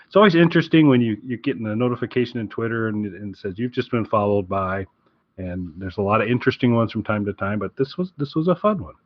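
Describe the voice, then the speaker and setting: A man's voice: on the low side, quick pace, dry recording. A man co-hosting a podcast in casual chat, rambling and correcting himself as he goes. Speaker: podcast co-host. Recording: dull, lo-fi close dry audio.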